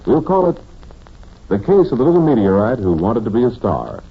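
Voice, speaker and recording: A man's voice: medium pitch, very animated, a little fast, slightly rough, narrow, tinny audio. A man announcing a 1940s radio drama in the style of an old broadcast.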